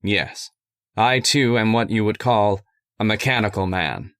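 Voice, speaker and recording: A man playing a megalomaniac robot passing as human in an old-time radio horror drama, deliberate and grandiose. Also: lowish voice, a bit bright, no echo, clean studio sound. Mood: menacing, triumphant. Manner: deliberate, grandiose villainous pronouncements. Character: megalomaniac robot disguised as a man, menacing and coolly certain.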